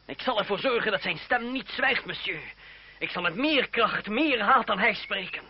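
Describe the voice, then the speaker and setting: Bitter young avenger: higher-pitched, defiant, grief-stricken, theatrical, on a muffled vintage radio drama recording. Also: male voice, lively intonation, normal speed, vocal fry, husky, full character voice. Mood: vowing revenge. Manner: theatrical, emphatic delivery. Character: bitter, defiant young man grieving his murdered friend. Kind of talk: old Dutch radio play acting.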